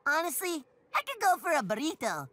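A man's voice: very high-pitched, huge pitch swings, a little fast, sharp tone, exaggerated voice. A man voicing a lazy, whiny teenage shapeshifter in a cartoon, with slangy, childlike grammar. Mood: casual. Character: lazy, whiny green shapeshifting teen boy. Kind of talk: cartoon voice acting.

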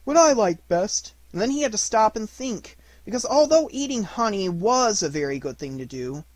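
A man's voice: high-pitched, lively intonation, normal speed, dry recording. A man narrating a children's storybook from a script as an audiobook reader.

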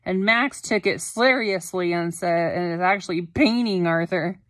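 A woman's voice: on the low side, moderate pace. A woman talking casually with a child in an encouraging way, often asking prompting questions, recorded on a phone in a bedroom. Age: adult. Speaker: parent helping a child read and make a video. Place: small child's bedroom, indoors. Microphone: phone at arm's length.

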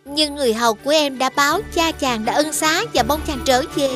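A woman playing a gentle, devoted young maiden in an animated fairy tale, speaking with formal courtesy. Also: high-pitched, brisk, close to an everyday voice. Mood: tender. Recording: clear music behind the voice.

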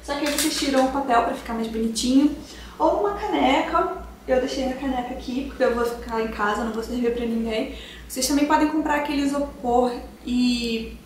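A woman's voice: a little high, a little fast, echoey room, a little hiss or hum. A young woman in her twenties from Brazil, chatting through a cooking tutorial in her home kitchen and explaining each step to her viewers. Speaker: cooking vlogger.

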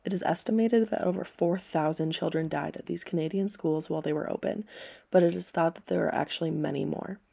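A woman reading aloud scripted story and history narration for listeners in a measured, plain way. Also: lowish voice, vocal fry, dark tone, slightly rough. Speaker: storybook reader and history presenter.

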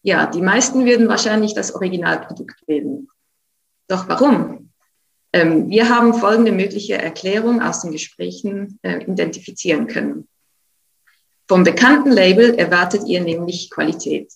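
A woman's voice: lowish voice, moderate pace, gravelly, poor audio. A young woman from Switzerland presenting research results over a video call, with frequent hesitant fillers. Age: young adult. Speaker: science slam presenter. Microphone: video call, computer mic.

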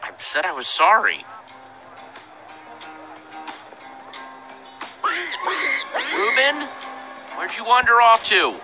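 A man's voice: sits high, very animated, moderate pace, bright, forceful tone, soft background music, exaggerated voice. An actor playing an earnest young male hero in a video game, speaking casually but with urgency. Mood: anxious.

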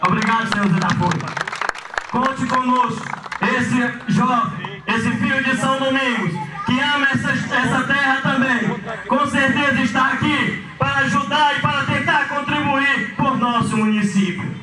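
Brazilian man from Maranhão, young, high and declamatory, street speech through an echoey PA, caught from afar. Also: steady tone, unhurried, a loud crowd. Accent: Brazilian Portuguese, from Maranhão. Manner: declamatory, often raising his voice. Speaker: local community leader giving a speech. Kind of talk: outdoor public speech at a community event. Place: outdoors at night on a street, crowd around. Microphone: handheld mic into a PA system. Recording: echoey PA sound from a far camera, loud ambience.

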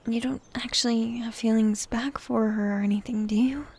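A woman playing a jealous, needy elf wife in an intimate ASMR roleplay, speaking softly and haltingly with a sulky edge. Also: fairly high pitch, fairly even intonation. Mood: jealous, annoyed. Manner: soft, breathy, halting, sulky delivery. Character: jealous, possessive, needy tsundere elf wife, female warrior.

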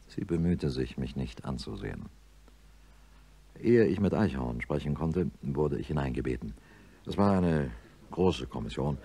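An actor playing a principled, educated neurosurgeon in a German radio play, emphatic and argumentative. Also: male voice, very deep voice, dark tone. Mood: sober, subdued. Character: defiant male neurosurgeon professor, indignant, principled, also narrating wearily. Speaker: lead character and narrator.

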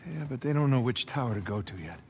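A man's voice: mid-range voice, expressive, darkish tone, slight background noise, lightly acted. A man playing a wisecracking young treasure hunter. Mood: playful. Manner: quippy, easygoing, quick to laugh. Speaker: adventurer. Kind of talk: video game cutscene acting. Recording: game audio with music behind.

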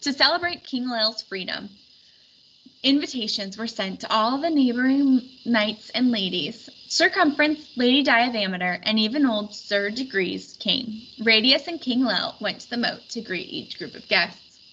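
A woman reading a storybook aloud in expressive scripted narration. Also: on the high side, measured pace. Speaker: storybook reader. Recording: dull recording with faint background noise.

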